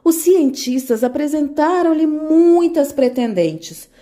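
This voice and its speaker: A woman narrating a children's fairy tale in an expressive, animated way. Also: high voice, slow. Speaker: storyteller.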